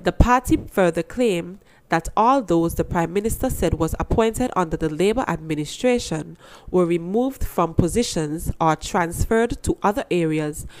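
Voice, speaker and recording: Low-pitched woman reading news. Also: darkish tone. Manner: formal, measured. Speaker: newsreader. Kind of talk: scripted news narration.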